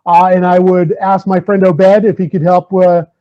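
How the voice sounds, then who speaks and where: A man's voice: sits high, little pitch movement, a little slow. A man, a school superintendent, speaking at an online community meeting over a video call, earnest and hesitant with many fillers, repeating key figures for emphasis. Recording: dull lo-fi call audio.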